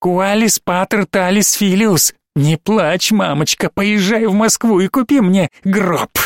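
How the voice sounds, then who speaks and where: A shrieking possessed little girl, as a male reader puts her on, high and shrill, stretching her words out slowly to taunt. Her voice swoops up and down, uncanny and cruel. The storybook recording is dry and close. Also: no echo. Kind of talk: audiobook reading.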